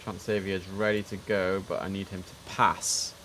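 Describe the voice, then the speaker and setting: A man's voice: low-pitched, level tone, normal speed, a bit bright. A man livestreaming as an air traffic controller, giving clipped formal radio instructions and muttering casual commentary to himself in between.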